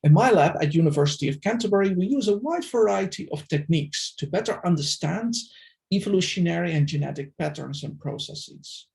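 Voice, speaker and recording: A middle-aged man presenting a prepared academic talk as an invited speaker, speaking in a measured, formal way. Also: high voice, expressive, gravelly, darkish tone. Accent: non-Filipino, foreign-accented English. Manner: measured, formal, deliberate delivery. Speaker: invited speaker, plant systematist.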